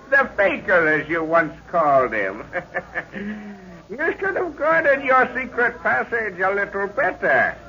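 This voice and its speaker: A man playing a mocking, gloating mad villain inventor in an old radio serial melodrama, speaking with slow, chuckling menace. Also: high-pitched, very animated, bright, forceful tone, a little hiss or hum, full character voice. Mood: mocking triumph. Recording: muffled old radio recording.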